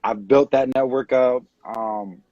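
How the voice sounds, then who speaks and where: A man in his late twenties from California, talking casually as an interview guest on a video call, relaxed and rambling with many fillers. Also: mid-range voice, slow pace, no echo. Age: late 20s. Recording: dull dry video-call audio.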